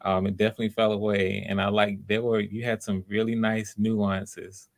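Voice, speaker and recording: Guy hosting auditions, lowish creaky voice, casual and supportive, reassuring. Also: flat delivery, moderate pace. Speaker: host running live auditions. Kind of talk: casual, encouraging live hosting.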